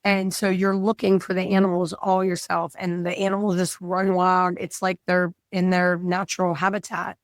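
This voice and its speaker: A woman from the United States fighting a cold, telling travel stories in an excited, casual chat. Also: fairly low pitch, normal speed, hardly any pauses, no echo. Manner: excited storytelling. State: fighting a cold, losing her voice. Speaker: guest.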